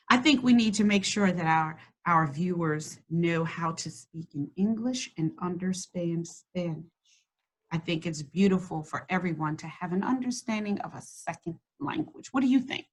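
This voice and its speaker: Woman reading a kids book out loud, slow and stretchy, super encouraging. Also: fairly low pitch, husky. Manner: warm, encouraging, slows and stretches words when reading. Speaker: school district host reading to children. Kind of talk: read-aloud lesson and chat.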